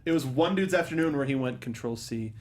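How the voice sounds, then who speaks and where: A man's voice: medium pitch, fairly quick, darkish tone. A man hosting a film review show in a casual panel chat, often slipping into mock quotes as he riffs. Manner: acts out mock quotes while riffing.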